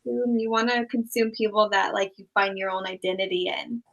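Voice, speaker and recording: Young woman, raised in Thailand, casual rambly guest, vocal fry throughout. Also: mid-range voice, moderate pace, dull audio. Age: young adult. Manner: relaxed, rambling, many "like" and "um" fillers. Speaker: guest, small YouTuber. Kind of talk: casual interview chat.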